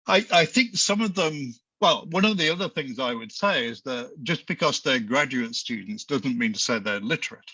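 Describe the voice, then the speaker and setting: Sharp-toned professor, very dry sound. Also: male voice, mid-range voice, moderate pace, hardly any pauses, breathy edge, no echo, poor audio. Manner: animated, emphatic, with frequent restarts. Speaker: business school professor. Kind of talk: panel discussion on a video call.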